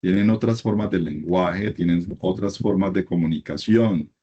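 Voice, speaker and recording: A man's voice: low voice, normal speed, warm tone, lo-fi sound, slight background noise. A middle-aged man from Colombia giving a university class lecture, emphatic and repeating his points in lists.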